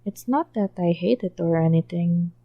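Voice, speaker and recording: A woman reading a podfic, voicing a gentle young man who is a mafia boss, pouty and hesitant. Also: lowish voice, normal speed, dry recording, lo-fi sound. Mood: flustered.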